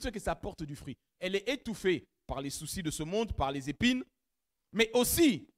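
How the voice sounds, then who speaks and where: Animated high-pitched preaching pastor. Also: male voice. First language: not French; has another mother tongue. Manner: animated preaching, rising to loud emphatic peaks, addresses the congregation directly. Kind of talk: sermon. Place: church.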